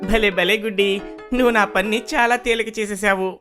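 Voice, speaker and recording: A performer voicing a playful, boastful young boy with an excited, teasing manner in a children's cartoon story. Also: mid-range voice, moderate pace, soft background music, lightly acted.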